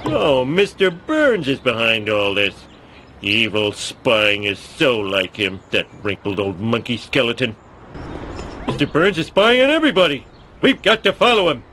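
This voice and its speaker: A man's voice: mid-range voice, very animated, measured pace, bright, forceful tone, slight background noise. A man voicing a dim, whiny, lazy middle-aged dad who complains childishly in a cartoon video game. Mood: grumbling.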